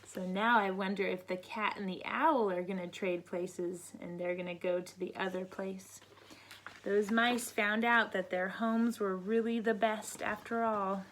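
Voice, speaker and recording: A woman reading picture books aloud to children in a teacherly way, pausing to prompt listeners with questions. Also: mid-range voice, fairly even intonation, a bit bright, a little hiss or hum, low-quality recording. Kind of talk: read-aloud storybook narration with counting asides.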